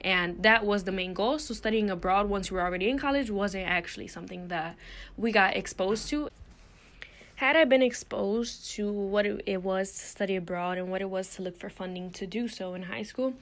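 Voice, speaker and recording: A woman's voice: mid-range voice, moderate pace, fairly bright tone. Young woman from puerto rico talking thru her slides, earnest, lots of ums and uhs, mid-range voice. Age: young adult. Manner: earnest, explanatory, frequent "um" and "uh" fillers. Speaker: student presenter. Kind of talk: recorded slide presentation.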